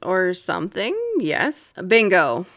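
Middle-aged wife, slightly low voice, fond but wry, naturalistic audio drama. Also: female voice, expressive, slow, full character voice. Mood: dry, guarded. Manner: dry, gently teasing.

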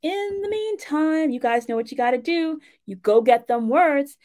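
A woman's voice: high-pitched, expressive, moderate pace, darkish tone. A woman giving a casual instructional talk as a writing coach, speaking directly and encouragingly to her listeners in a conversational way.

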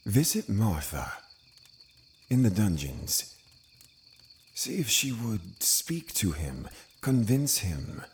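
A stern, pious older Puritan man in a period audio drama. He speaks low and slowly, with grave, measured formality. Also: big pitch swings, heavily acted. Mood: grave, resolute.

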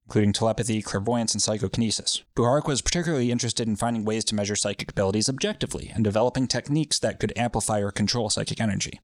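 A man's voice: lowish voice, very fast, hardly any pauses, dry recording, clean studio sound. A man hosting a podcast, telling a story in a loose, rambling, profane way with lots of fillers. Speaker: podcast host. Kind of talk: casual storytelling chat.

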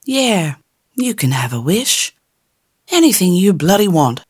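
A woman's voice: on the low side, big pitch swings, a little slow, a little mellow, breathy edge, pitched above the performer's own voice, heavily acted. A woman voicing a tiny rude immortal fairy, crude and impatient. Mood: cranky, cheeky.

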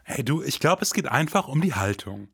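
Husky-edged laid-back male detective. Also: medium pitch, sing-song, brisk, a bit bright, no room sound, clean studio sound, exaggerated voice. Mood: casual, questioning. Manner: casual, colloquial, questioning. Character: laid-back male detective, idealistic, hard-boiled noir narrator. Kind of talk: scripted detective audio drama.

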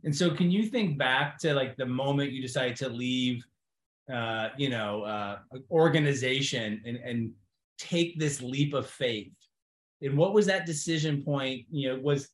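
Higher-voiced man moderating in an echoey room. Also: fairly bright tone. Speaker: panel moderator, startup founder. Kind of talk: casual interview chat. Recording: roomy, echoing sound.

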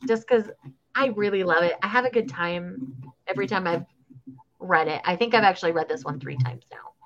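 A woman's voice: on the low side, a little fast, highs cut off, slight background noise. A woman co-hosting a podcast, talking eagerly about a book she loves. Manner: enthusiastic, opinionated reader. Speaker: podcast co-host. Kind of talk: casual book discussion.

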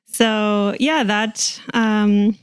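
Hesitant American woman, clear and unhurried. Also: medium pitch, fairly even intonation, no room sound, clean studio sound. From suburbs of Washington DC, USA. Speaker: podcast guest. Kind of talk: casual interview talk.

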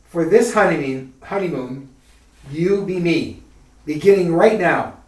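Eager young groom acted by a man, earnest radio-drama delivery, lightish pitch, roomy off-mic sound. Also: animated, a little slow. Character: eager young bridegroom hatching a plan. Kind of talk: retro radio mystery-comedy acting. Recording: echoey room, distant from mic.

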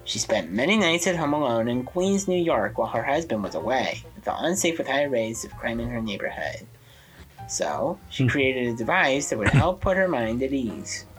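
A woman's voice: very deep voice, very animated, normal speed, faint music behind, rough recording. A woman presenting a scripted history segment for a podcast.

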